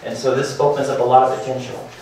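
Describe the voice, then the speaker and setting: Guy in his 30s rushing through a tech talk, lots of ums and restarts, very echoey room, mic far off. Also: mid-range voice, level tone, a little mellow, a little hiss or hum. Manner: hurried, filler-heavy, restarts and repeats words. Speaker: startup presenter. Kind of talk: conference talk. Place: meetup room with projector and seated audience.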